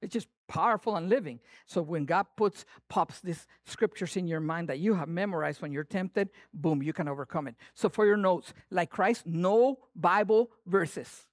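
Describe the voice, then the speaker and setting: Animated preacher with a Latin accent. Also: male voice, high voice, normal speed, few pauses, no echo, clean studio sound. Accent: Latin American Spanish. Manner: animated, playful, swings from loud to hushed. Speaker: pastor. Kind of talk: sermon.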